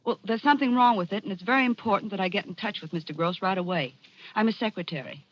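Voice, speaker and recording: A woman acting a worried, quick-witted gangster's secretary in a 1940s radio drama. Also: mid-range voice, close to an everyday voice. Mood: businesslike. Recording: dull vintage 1940s radio recording.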